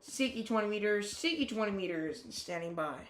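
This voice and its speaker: A ham radio operator hosting a live stream, chatting casually in halting, self-correcting sentences and calling out a call sign in phonetic letters. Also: mid-range voice, animated, noisy background.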